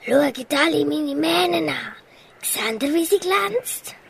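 A woman's voice: high-pitched, animated, slow, gravelly, exaggerated voice. A teenage girl with a Swiss German accent playing a vain, dramatic male lion in an amateur radio play. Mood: boastful.

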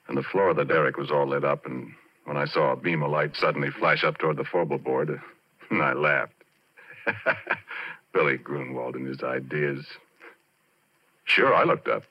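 A very low-voiced easygoing oil driller in an old 1940s radio play. He talks in plain, folksy oilfield slang, like a working man spinning an eerie tale. The sound is dull and muffled, an aged broadcast recording. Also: husky, exaggerated voice. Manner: folksy, colloquial. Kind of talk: 1940s radio drama acting.

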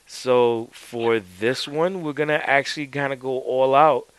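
A man with a smooth, resonant voice talks through wrestling plans in a relaxed, unhurried way. The sound is close and dry. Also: mid-range voice, no echo. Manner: laid-back, laughs often. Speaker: podcast co-host. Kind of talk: casual wrestling chat.

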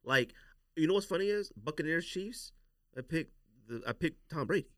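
A man's voice: a little high, animated, normal speed, a bit bright, no room sound, studio quality. An American man hosting a casual sports podcast, giving emphatic, opinionated takes and repeating his points for emphasis.

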